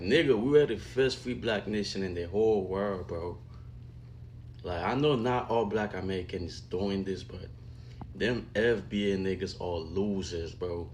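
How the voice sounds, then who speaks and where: Deep-voiced man in a slangy rant. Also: measured pace, a little mellow, slight background noise. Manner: slangy, repetitive, ends lines with bro. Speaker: online commentator. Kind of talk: heated casual rant.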